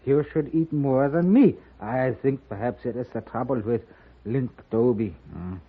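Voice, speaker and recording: An actor playing a kindly, earnest elderly German gunsmith in a 1950s Western radio drama, speaking with a German accent. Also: male voice, medium pitch, measured pace, slightly rough. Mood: fond concern. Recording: muffled phone-like old radio recording.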